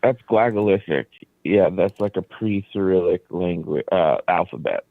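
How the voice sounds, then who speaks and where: A man's voice: low voice, moderate pace, vocal fry, dry recording. A man whose first language is Croatian, a band leader chatting as a radio guest and explaining things at length with easy fillers. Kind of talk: casual radio interview. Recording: dry, phone-like narrow sound.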